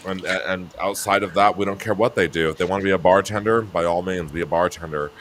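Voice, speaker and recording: A man with a very deep, low voice chats easily, with frequent laughs and bursts into a higher voice when he imitates himself. A faint hiss of background noise sits under the recording. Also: steady tone, quick pace. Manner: laughing, breaks into high shouty voice when mimicking himself. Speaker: guest on a talk show. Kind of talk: casual chat. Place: New Mexico home.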